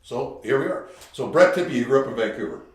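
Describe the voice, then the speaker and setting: A Canadian man hosting a podcast, chatting casually and telling brash, animated stories with plenty of swearing. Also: medium pitch, fast. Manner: brash, animated storyteller who swears freely. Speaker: podcast host. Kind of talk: casual interview chat. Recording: very echoey room.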